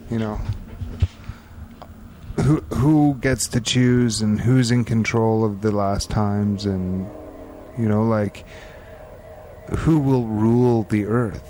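A man's voice: on the low side, fairly even intonation, slow, long pauses, mellow, slight background noise. A man interviewing his guest in a casual, chatty way, with lots of fillers.

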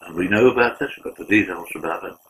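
An older man, a former UN war crimes investigator, answering interview questions in an earnest, measured way with frequent restarts. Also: low voice, a bit bright, poor audio.

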